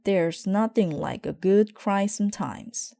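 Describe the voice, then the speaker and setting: A woman plays a caring, harried mama bear from a children's storybook. The delivery is brisk and motherly, slightly raised, with a dry sound. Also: mid-range voice, gravelly, warm tone, no room sound, voice pushed up. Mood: reassured.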